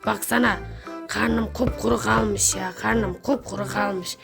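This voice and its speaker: Over music, a woman puts on a greedy, pot-bellied neighbour from a kids' skit. The voice is creaky and whining, always pleading for food or muttering sneaky plans to itself. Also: mid-range voice, fairly even intonation, measured pace. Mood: furtive muttering. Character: whiny, greedy, ever-hungry pot-bellied neighbour intruder scheming for snacks. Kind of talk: children's pretend-play skit.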